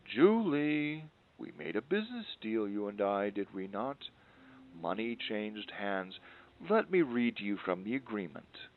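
A man's voice: lowish voice, very animated. Man playing a pompous tycoon villain, tinny filter. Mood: smug, casual menace. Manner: pompous, deliberate, legalistic, savoring his own voice. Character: pompous, ruthless male business tycoon villain. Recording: tinny, phone-like narrow band.

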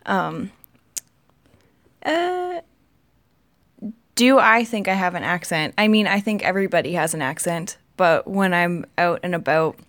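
A woman's voice: on the low side, expressive, unhurried, long pauses, fairly bright tone. A woman with a Canadian accent, chatting casually and laughing easily as she hosts the video. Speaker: host of an accent tag video. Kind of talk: casual chat.

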